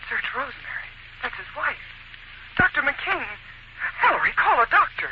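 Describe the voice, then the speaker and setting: Hissy dull 1940s radio, eager young gal sidekick, swingy theatrical delivery. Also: female voice, high-pitched, sing-song, moderate pace. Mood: alarmed. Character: young female secretary and sleuth's eager sidekick. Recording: muffled, very noisy 1940s radio recording.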